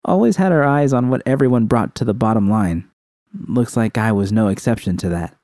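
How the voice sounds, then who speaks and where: Guy doing a techy young woman in an audiobook, rambly n teasing, soft mellow tone, dry. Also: mid-range voice, a little fast, no echo, natural voice. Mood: amused, wry. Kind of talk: audiobook character dialogue.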